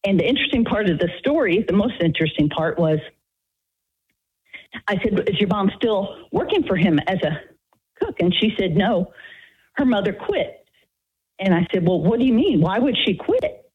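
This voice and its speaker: A woman's voice: mid-range voice, sing-song, normal speed, pauses often, slightly rough. A woman in her fifties calling a radio talk show, nervous and hesitant, with many fillers and restarts. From Texas, United States. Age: 50s. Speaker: caller. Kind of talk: radio call-in chat. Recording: phone line.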